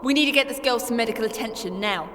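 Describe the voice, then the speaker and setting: A woman's voice: on the high side, fast, a bit bright, a little hiss or hum, lightly acted. An actress playing an earnest, caring female adventurer who takes charge, in an audio drama. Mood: urgent, exasperated. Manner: earnest, urgent, compassionate. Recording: long reverb.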